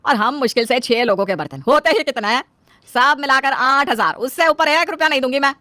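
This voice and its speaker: A woman plays a bossy, stingy mother-in-law from an Indian family story. The voice is high and sharp, always demanding and finding fault. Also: expressive, fairly quick, no room sound. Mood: haggling. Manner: scolding, demanding.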